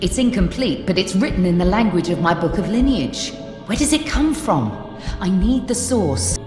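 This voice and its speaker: An actress playing a sly, teasing treasure hunter in a fantasy game, with a wry, sarcastic delivery. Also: female voice, medium pitch, big pitch swings, moderate pace, lightly acted. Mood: keen, insistent. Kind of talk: fantasy game character acting. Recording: echoey hall reverb, music behind.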